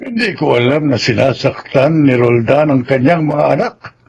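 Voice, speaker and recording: A man's voice: mid-range voice, expressive, normal speed, a little mellow, breathy edge, muffled recording. An actor playing a concerned grandfather with a questioning manner in a radio drama. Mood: surprised, concerned. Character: concerned grandfather, surprised and probing. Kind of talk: Filipino radio drama acting.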